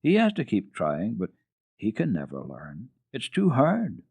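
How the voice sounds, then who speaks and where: A man's voice: fairly low pitch, huge pitch swings, unhurried, vocal fry, a little mellow, slightly rough, full character voice. A man voicing an earnest, naive little girl in a storybook reading, plaintive and easily resigned. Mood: discouraged. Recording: narrow-band, echoey old radio recording.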